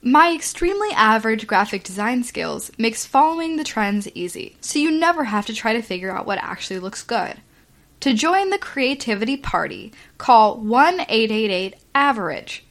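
A woman's voice: a little high, animated, moderate pace. A woman reading a mock infomercial in a tongue-in-cheek, salesy way.

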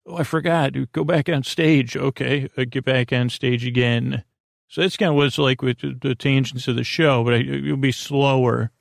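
A man with a creaky voice hosting a bedtime podcast in a halting, meandering monologue, gently reassuring as he stammers and circles back on his phrases. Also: mid-range voice, fairly even intonation, dry recording, clean studio sound. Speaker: podcast host.

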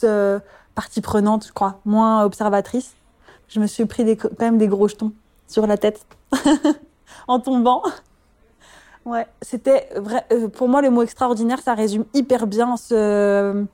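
Soft-toned midwife, hesitant. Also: female voice, mid-range voice. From France. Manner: hesitant, many fillers, laughs easily. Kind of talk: personal interview testimony.

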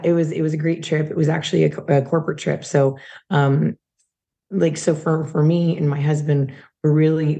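Hoarse lady rambling on, gravelly dark voice, lots of restarts. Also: low voice. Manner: chatty, rambling, many restarts. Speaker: guest, supplement company sales rep. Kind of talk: casual interview chat.

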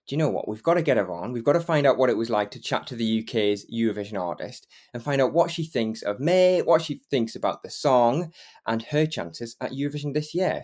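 A man's voice: medium pitch, darkish tone. A man with a Geordie accent from Northeast England, hosting a podcast in casual, chatty conversation with frequent fillers and laughs. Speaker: podcast host. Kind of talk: casual chat and interviewing.

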